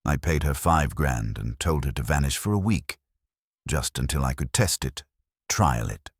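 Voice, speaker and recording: A man voicing a sharp, guarded woman chef who answers in short, defensive lines. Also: a bass voice, expressive, moderate pace, slightly rough, no room sound, studio quality, exaggerated voice. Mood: anxious.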